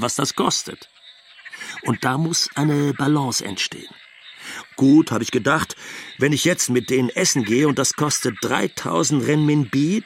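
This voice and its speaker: A man's voice: medium pitch, slight background noise. A man playing an exasperated Western husband living in China, telling his story bluntly and colloquially in a documentary radio drama.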